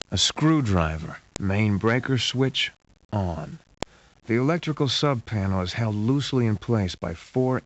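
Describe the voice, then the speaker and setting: A man's voice: fairly low pitch, fairly bright tone. A man playing a wry hard-boiled detective who narrates a sci-fi adventure game in a dry, dramatic, scripted noir style. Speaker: game narrator and lead character. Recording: muffled lo-fi game audio with hiss.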